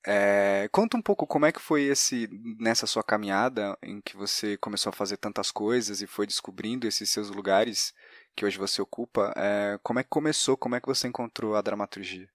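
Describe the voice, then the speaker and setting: A man's voice: on the low side, brisk, no echo. Brazilian man hosting, close dry sound. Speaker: podcast host interviewing a guest. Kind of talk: conversational interview.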